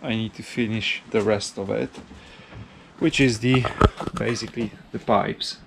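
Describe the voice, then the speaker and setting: A man narrates a casual DIY vlog at a slow pace. His voice has a gravelly creak, and he talks in an informal, halting, self-deprecating way. There is faint background noise on the recording. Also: on the low side, fairly even intonation. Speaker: motorcycle hobbyist, video host.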